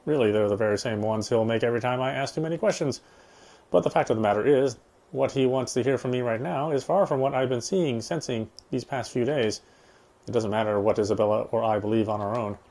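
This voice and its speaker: A man's voice: medium pitch, brisk, a little mellow. A man reading game text aloud as a player, in the style of a let's play with casual commentary.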